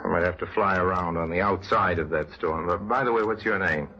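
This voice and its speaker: A brash smuggler pilot played by a man in a vintage radio drama. His voice sits deep and he talks in a wry, bossy, wordy way. The old recording is dull and heavy with noise. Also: brisk, close to an everyday voice. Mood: exasperated, dry. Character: brash, sardonic adult male smuggler pilot. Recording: noisy, muffled old-time radio recording.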